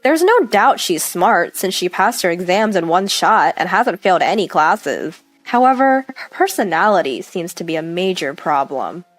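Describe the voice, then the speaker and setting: A woman narrates a first-person story in a scripted read. Her mid-pitched voice moves up and down in an animated way. The sound is very dry, with faint music underneath. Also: moderate pace, hardly any pauses, no room sound.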